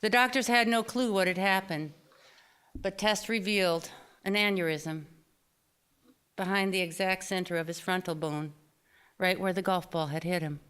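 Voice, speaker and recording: Woman, lowish pitch, smooth resonant tone, scripted memoir reading, expressive deliberate storytelling, live literary event. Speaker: author reading own personal essay. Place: small theater stage, live reading before an audience.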